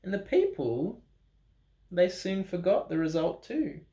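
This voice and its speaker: Guy reading a bible storybook to kids, really slow and dramatic, bit of gravel in his voice, roomy sound. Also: sits high. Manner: dramatic pauses, expressive emphasis, playful ad-libbed asides. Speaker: storyteller reading a children's Bible picture book. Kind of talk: animated read-aloud storytelling for children. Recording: roomy, echoing room sound.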